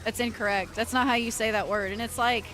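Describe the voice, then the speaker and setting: A woman's voice: on the high side, steady tone, brisk, fairly bright tone. A woman livestreamer chatting casually and earnestly with her viewers, rambling and often restarting her sentences. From United States. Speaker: livestreamer and metal vocalist.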